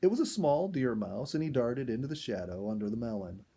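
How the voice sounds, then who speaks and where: Animated male storyteller, mellow and slightly husky. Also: mid-range voice, a little fast. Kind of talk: expressive scripted narration of a children's story.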